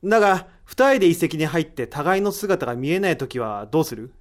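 A man acting a higher-voiced, thoughtful male sleuth. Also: animated, lightly acted. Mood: explaining, intent. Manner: deliberate, explanatory, rhetorical questioning. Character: thoughtful, analytical man reasoning out a mystery. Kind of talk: amateur voice drama acting.

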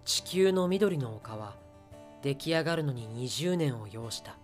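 A man's voice: mid-range voice, lively intonation, measured pace, soft background music. A man reading scripted story narration for an audio drama.

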